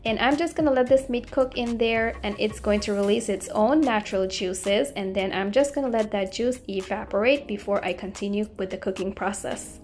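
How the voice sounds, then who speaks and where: A woman's voice: medium pitch, normal speed, few pauses, darkish tone. A woman cooking host narrating a recipe voice-over in a friendly, polite way. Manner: friendly, polite, appreciative greetings to viewers. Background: background music.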